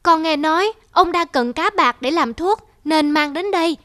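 A woman's voice: high-pitched, moderate pace, loud music behind the voice, natural voice. A woman voicing a poor, humble young fisherman in a cartoon folk tale, polite and earnest.

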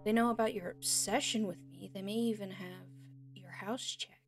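A woman playing a frightened but defiant young female captive in an audio roleplay, pleading and indignant in a halting way. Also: mid-range voice, fairly even intonation, soft background music, lightly acted.

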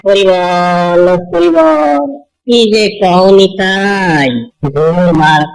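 A man playing an instructive village holy man in a cartoon, explaining things deliberately in a Bangladeshi Bengali dialect. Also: high voice, gravelly, faint music behind, exaggerated voice, low-quality recording. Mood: appreciative. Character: visiting village holy man, elder Matua preacher, patient teacher.

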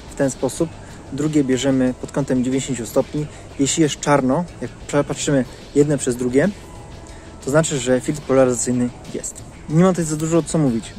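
A man's voice: medium pitch, darkish tone. A man in his thirties giving a casual product review to camera, recorded outdoors on a lapel mic. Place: outdoors in leafy woodland. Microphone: clip-on lapel mic.

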